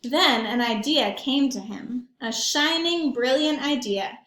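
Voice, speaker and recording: A high-pitched woman reads a children's picture book in an echoing room. She is animated and emphatic, and she turns to her young listeners with simple questions as she goes. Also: takes it slowly. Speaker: read-aloud storyteller for young children. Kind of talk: expressive picture-book read-aloud with asides to children.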